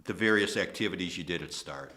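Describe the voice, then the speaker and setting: A middle-aged man from Ohio, an attorney, hosting a casual interview in a relaxed way with plenty of ums and uhs. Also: low voice, fast. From Toledo, Ohio. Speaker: host, attorney.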